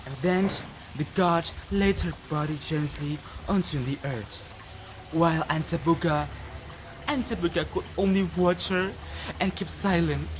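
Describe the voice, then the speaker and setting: A teenage student from Indonesia with an Indonesian accent tells a folktale dramatically, with deliberate, emphatic pauses. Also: mid-range voice, background noise. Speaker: student storyteller. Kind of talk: dramatic oral storytelling for a competition.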